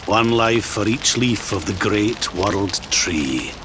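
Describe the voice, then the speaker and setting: An actor playing a grieving, tender old man with a Scottish accent, solemn and halting, in a dramatic video game scene. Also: lowish voice, animated, noisy background. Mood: solemn, reverent. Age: older. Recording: loud music under the voice. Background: loud music.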